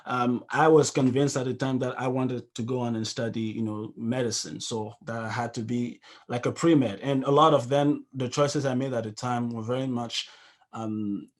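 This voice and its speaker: Thoughtful man, hesitant and searching. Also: mid-range voice. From Cameroon. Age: 30s. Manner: thoughtful, hesitant, searching for words with many restarts. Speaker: musician and interview guest. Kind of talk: casual question-and-answer talk. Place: remote video call.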